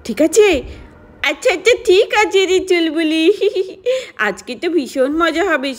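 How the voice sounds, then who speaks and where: A woman's voice: high-pitched, a bit bright. A woman voicing mischievous young village girls in a children's doll story, in an exclamatory, playfully scheming way. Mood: gleeful scheming.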